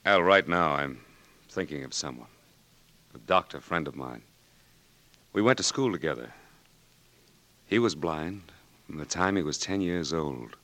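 An actor playing a patient military doctor who steadily reassures a blinded patient, in a 1940s radio drama. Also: male voice, a bass voice, lively intonation, measured pace, pauses often, sharp tone, no room sound, exaggerated voice. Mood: reassuring. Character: calm, patient army eye doctor, firm but kind.

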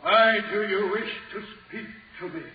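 A man's voice: high-pitched, moderate pace, lightly acted. Actor as grim haunted signalman, echoey. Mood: guarded. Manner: terse, guarded, sombre. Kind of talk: 1940s radio acting. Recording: echoey, muffled 1940s radio recording.

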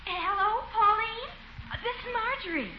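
An actress playing a sweet, easily distracted young niece in a 1940s radio comedy. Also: female voice, extremely high pitch, normal speed, a crowd in the background. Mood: breezy, distracted. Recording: very noisy, muffled 1940s radio.

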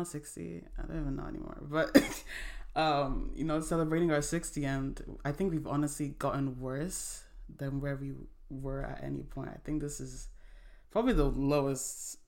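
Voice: mid-range voice, creaky, darkish tone. A young adult from Nigeria, a podcast guest, talking in a rambling, heated, casual way. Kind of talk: casual chat.

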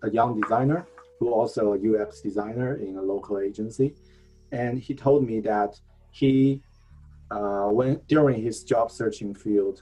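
A man whose first language is Chinese speaks as a guest on an online video call, talking in halting phrases with many fillers and restarts. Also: on the low side, mellow. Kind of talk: online talk answering a host.

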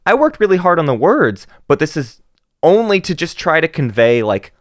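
A man co-hosting a book podcast, talking casually with lots of fillers and restarts, animated and quick to laugh. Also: fairly high pitch, dry recording, clean studio sound. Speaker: podcast co-host.

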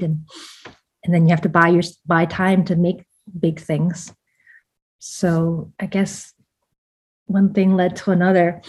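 A woman from Vietnam, an author talking through her thoughts in a relaxed interview, with lots of fillers and restarts. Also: fairly low pitch, slightly rough. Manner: reflective, many fillers and restarts, laughs often. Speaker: author and guest. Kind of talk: casual interview conversation.

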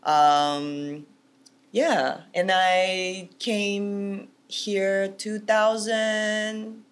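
A woman's voice: mid-range voice, fairly even intonation, very slow. A young woman from Japan with a Japanese accent, chatting casually and in slangy exclamations as a guest. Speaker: guest, singer and dancer. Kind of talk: casual chat.

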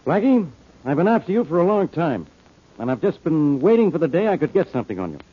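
Gruff radio police inspector, swinging pitch, rough-edged. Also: male voice, very animated, fast talker. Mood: exasperated, accusing. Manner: blunt, needling, hard-boiled delivery. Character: gruff, sarcastic, suspicious male police inspector. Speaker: actor in a detective radio drama. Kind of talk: old-time radio detective acting. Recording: muffled old-time radio recording.

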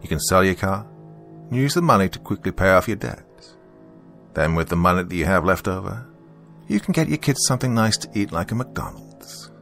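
A man's voice: deep voice, expressive, moderate pace, gravelly, fairly bright tone, faint music behind, exaggerated voice. A man voicing a pushy, condescending male debt collector in an audiobook, stern and badgering in a falsely helpful way. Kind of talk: audiobook character dialogue.

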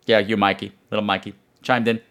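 A man's voice: on the low side, level tone, fairly quick, a bit bright. A man hosting a podcast in a casual, comedic storytelling monologue, rambling with sarcastic asides and some swearing. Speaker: podcast host.

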